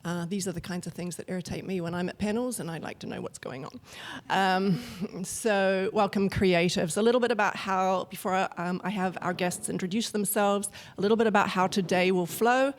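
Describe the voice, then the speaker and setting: A woman from New Zealand moderating a panel at an arts venue, chatty and relaxed, with fillers, into a recording mic. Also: mid-range voice, normal speed, hardly any pauses. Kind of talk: hosting a panel event.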